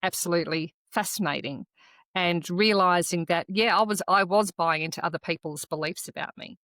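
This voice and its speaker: A woman whose was Croatian, co-hosting a podcast and telling a personal story in an earnest, reflective way with halting restarts. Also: on the low side, a bit bright, no echo. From Australia. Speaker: podcast co-host. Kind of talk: casual conversational podcast chat.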